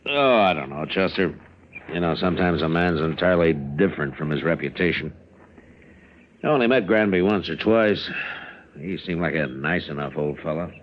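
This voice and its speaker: A seasoned American lawman of the old West, low-pitched and laconic, with a dry, teasing calm. It is radio western drama on a muffled, noisy vintage recording. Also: moderate pace. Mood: wry, easygoing. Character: seasoned, wry frontier US marshal, calm and dry.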